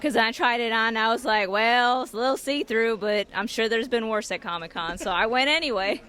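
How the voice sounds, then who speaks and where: A woman's voice: a little high, brisk, fairly bright tone, smooth. A woman cosplayer answering interview questions at a fan convention, easygoing and a little hesitant, with lots of fillers. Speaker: cosplayer guest.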